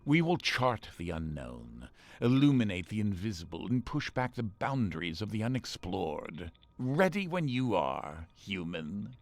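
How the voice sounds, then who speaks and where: Theatrical, snide, creaky AI companion. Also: male voice, fairly low pitch, very animated, a little slow, few pauses, no echo. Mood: grandiose. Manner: pompous, grandiloquent, sarcastic. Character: snide, condescending male artificial intelligence ship companion, theatrically disdainful. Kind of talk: scripted game voice-pack acting.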